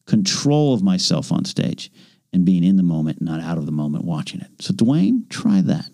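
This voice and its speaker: A man's voice: low-pitched, very animated, moderate pace, gravelly, dark tone. A man co-hosting a casual podcast, talking in a rambling, self-deprecating way with many restarts. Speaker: podcast co-host. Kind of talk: casual chat.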